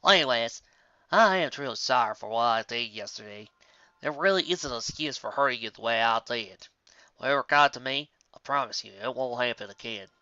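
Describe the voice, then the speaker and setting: A man's voice: medium pitch, a little fast, pushed and bright, no room sound, muffled recording, close to an everyday voice. A man voicing an earnest, troubled female farmer pony, plain-spoken and sincere, in a story reading. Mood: remorseful.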